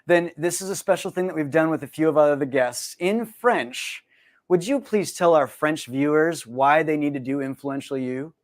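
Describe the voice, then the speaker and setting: Chatty american guy hosting a podcast, upbeat and jokey, voice sits a bit high. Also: moderate pace. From United States. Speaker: podcast host. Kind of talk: casual interview chat, then read promo outro.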